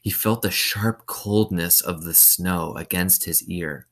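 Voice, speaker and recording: Male storyteller, low register, reads a children's novel with simple explanatory asides and questions. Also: takes it slowly, fairly bright tone.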